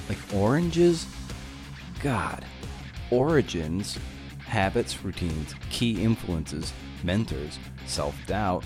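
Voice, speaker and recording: A man with a low voice speaks as a podcast host. His delivery is conversational, with playful emphasis and asides, and guitar music is heard with him. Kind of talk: scripted podcast intro, then conversational interviewing.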